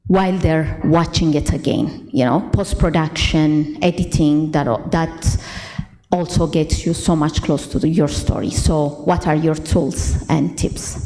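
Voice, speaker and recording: A woman's voice: low-pitched, darkish tone. A woman from Ethiopia, asking a panel a question from the audience. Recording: reverberant hall.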